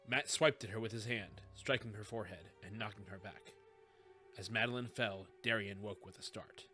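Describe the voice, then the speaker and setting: Bright-voiced man narrating a story over music. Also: lowish voice, moderate pace. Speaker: audiobook narrator. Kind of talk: scripted fiction narration.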